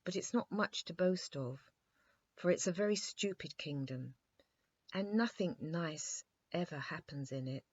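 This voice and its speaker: A woman's voice: fairly low pitch, expressive, unhurried, husky, no echo, highs cut off. A woman voicing a petulant, haughty little boy king in a children's storybook reading. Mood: sulky. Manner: cross, haughty, complaining.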